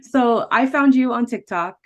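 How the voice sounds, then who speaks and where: A woman's voice: fairly high pitch, a little slow, lo-fi sound. A woman hosting a podcast, asking questions and reflecting earnestly in a casual interview. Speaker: podcast host.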